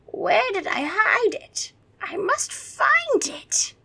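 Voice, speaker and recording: Woman voicing a theatrical old vampire count, high-pitched. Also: big pitch swings, slow, gravelly, bright, forceful tone, in a whisper, full character voice. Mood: anxious muttering. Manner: theatrical impression, muttering and wailing at times. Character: frail old male vampire count, forgetful, menacing, weary.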